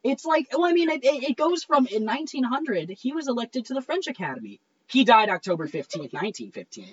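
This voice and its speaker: Casting: young female guest, joking and slangy, high, lively pitch. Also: fairly quick, husky, highs cut off. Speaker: podcast guest, stage performer. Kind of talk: casual chat.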